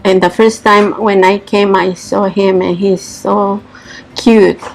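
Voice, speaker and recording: A woman speaking slow, halting broken English in an interview. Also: mid-range voice, level tone, creaky, slight background noise, low-quality recording. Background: soft music.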